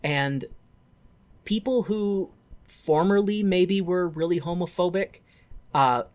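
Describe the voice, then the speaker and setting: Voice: medium pitch, slow pace, darkish tone. A podcast co-host in casual film chat, talking informally with folksy turns of phrase and loose swearing.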